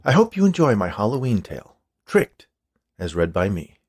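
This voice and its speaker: A man's voice: fairly low pitch, very animated, normal speed, darkish tone. A man reading his own short story as scripted narration.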